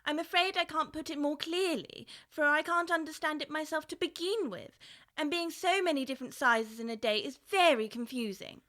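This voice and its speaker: Shy polite little girl in a storybook, high bright voice, easily flustered. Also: normal speed. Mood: shy, confused. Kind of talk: full-cast audiobook acting.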